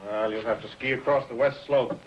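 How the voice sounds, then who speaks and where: An actor playing a curt, scheming outlaw ringleader with a rough voice, giving orders in a 1950s TV adventure. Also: male voice, medium pitch, steady tone, a little fast, slight background noise, natural voice. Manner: curt, commanding. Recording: dull vintage TV soundtrack.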